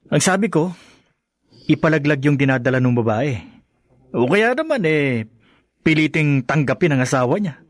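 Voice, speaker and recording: Actor as glib, lively Filipino freeloader husband. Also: male voice, fairly high pitch, normal speed, long pauses, dry recording, studio quality, natural voice. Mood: glib, coaxing. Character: glib, self-serving freeloading husband, smooth-talking. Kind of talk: Filipino radio drama acting.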